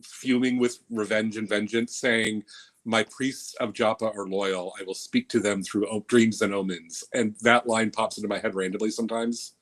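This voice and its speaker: A man's voice: fairly low pitch, little pitch movement, moderate pace, a bit bright. A man co-hosting a casual film podcast, playfully quoting movie lines with campy relish. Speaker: podcast co-host. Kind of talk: casual chat.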